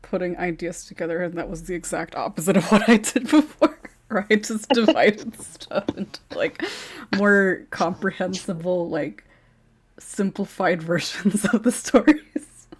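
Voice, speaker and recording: Woman hosting a podcast, somewhat low voice, giggles a lot, super casual. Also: unhurried, husky. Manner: laughs often while talking. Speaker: podcast moderator and voice actor. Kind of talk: casual chat.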